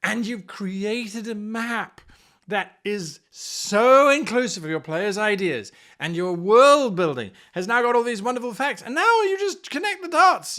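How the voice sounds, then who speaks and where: A man's voice: high-pitched, bright, forceful tone. A man hosting a tabletop role-playing lesson, giving game master advice in a theatrical, exaggerated manner. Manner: theatrical, exaggerated, acts out mock dialogue.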